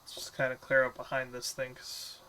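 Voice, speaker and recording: Male game streamer, bright voice, easygoing rambling chat with viewers. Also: mid-range voice, completely flat delivery, normal speed, slight background noise, low-quality recording. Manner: laid-back, rambling, answers chat questions with small laughs. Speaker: gaming streamer. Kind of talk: casual gameplay chat with viewers.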